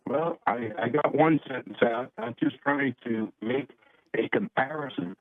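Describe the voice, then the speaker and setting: A man calling in to a political show, arguing defensively and haltingly over the phone. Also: medium pitch, no room sound. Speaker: caller. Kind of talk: political argument. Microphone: phone call. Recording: thin, narrow phone-line sound.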